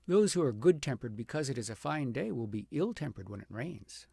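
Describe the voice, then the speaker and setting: Male audiobook reader, husky and creaky, dramatic fairy-tale delivery, close dry sound. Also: medium pitch, warm tone, dry recording. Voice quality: gravelly, slightly husky. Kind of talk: expressive storybook narration of a fairy tale.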